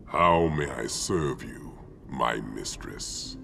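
Game villain: menacing Titan, bottomless gravel voice, deliberate, weighty pauses, score underneath. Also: male voice, rumbling low, lively intonation, pushed and bright, slight background noise, full character voice. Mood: grave, deferential. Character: huge, menacing alien Titan warlord. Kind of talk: dramatic video game villain acting. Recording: dramatic score clearly behind.

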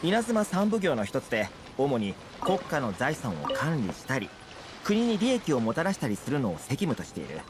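A man's voice: mid-range voice, huge pitch swings, moderate pace, over music. A man voicing a friendly, easygoing young guide in an anime game, explaining things in a casual, affable way. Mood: explanatory. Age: young adult.

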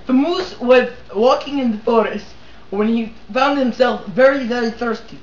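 A man voicing a crude storyteller with a put-on Russian accent and broken English, shouting out the lines of his characters. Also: very high-pitched, normal speed. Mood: gleeful. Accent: mock Russian. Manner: bursts into shouting for quoted characters. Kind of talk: comedic amateur storytelling. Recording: echoey lo-fi amateur recording.

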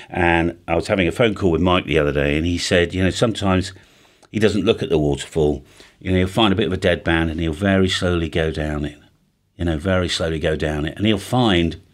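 Deep-voiced older British man, very lively intonation. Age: older, around 60. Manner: chatty, animated, tags phrases with "right?" and "all right?". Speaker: amateur radio video host. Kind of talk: casual chat to camera. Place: small home music studio, indoors.

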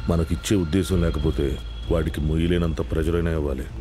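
A middle-aged film boss, shrewd and domineering. His voice sits very low and he talks down to people in measured phrases. Prominent music plays behind him. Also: little pitch movement. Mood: smug, cold. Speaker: actor in a film. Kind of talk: film dialogue.

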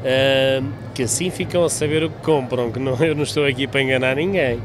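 A man's voice: medium pitch, fairly even intonation, fast talker. A man with a European Portuguese accent talking casually in an interview as a vendor. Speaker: fair vendor. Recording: noisy fair ambience.

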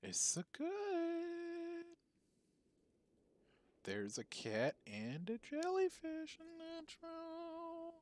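A man putting on a squeaky, silly, cartoonish character with a drawn-out sing-song delivery. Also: sing-song, dry recording, full character voice. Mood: goofy.

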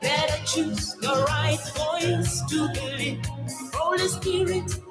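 Solemn woman chanting over loud music. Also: high voice, slow pace. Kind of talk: spoken word and singing over music. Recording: echoey room with loud music.

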